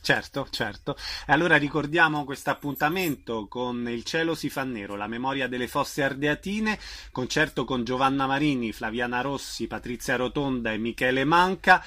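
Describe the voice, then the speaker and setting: A man's voice: medium pitch, normal speed, few pauses, bright, forceful tone. A man hosting an interview, putting questions to his guest and giving announcements. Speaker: radio interviewer, host.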